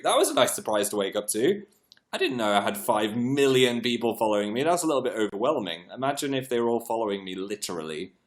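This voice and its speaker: A British man hosting a casual livestream, chatting in a rambling, self-mocking way with a slightly croaky, froggy voice as it recovers from being lost. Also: medium pitch, fairly bright tone. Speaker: live stream host.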